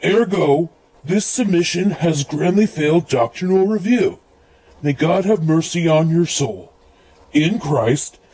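Man acting a husky, high-voiced pompous church reviewer. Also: lively intonation, unhurried, mellow, low-quality recording. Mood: disapproving, grave. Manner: formal, officious, grandiose. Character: pompous, stern church doctrinal reviewer reading out rejection letters. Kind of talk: comedic radio theatre letter reading.

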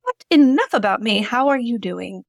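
A fairly high-voiced woman acting as a wry, matter-of-fact ghostly friend in a homemade comic radio play. She sounds everyday and conversational. The recording is dry, with little room sound. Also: lively intonation, moderate pace, vocal fry, no room sound. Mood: teasing, counseling. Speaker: podcast co-host.

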